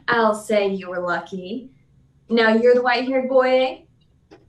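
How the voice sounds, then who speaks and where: An actress playing a brash, sarcastic young newspaper reporter in a 1940s-style radio drama, needling and skeptical, in a roomy distant recording. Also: female voice, on the high side, sing-song, slow pace. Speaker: actor in a live radio play. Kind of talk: 1940s radio serial acting. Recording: roomy, echoing, off the mic.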